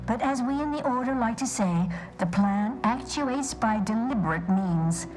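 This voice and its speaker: A woman's voice: mid-range voice, darkish tone, low-quality recording. A woman playing a prim, pedantic scholar of a stoic order, speaking formally and precisely in a video game. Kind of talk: video game dialogue acting.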